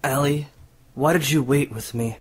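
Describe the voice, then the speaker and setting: A man voicing a calm, secretive water dragon demon who passes for a teenage boy, in an amateur audio drama. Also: medium pitch, normal speed, background noise, close to an everyday voice. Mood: guarded.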